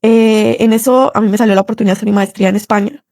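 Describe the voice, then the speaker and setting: A woman's voice: mid-range voice, steady tone, rapid-fire, darkish tone, no room sound, studio quality. A young woman with a Colombian accent shares a personal testimony in an earnest, conversational way. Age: young adult. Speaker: listener sharing a testimony.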